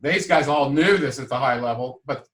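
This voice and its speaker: A man hosts a casual podcast interview at an ordinary pitch. He talks in a halting way, with lots of fillers and restarts as he works his way toward each question. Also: a bit bright. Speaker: podcast host.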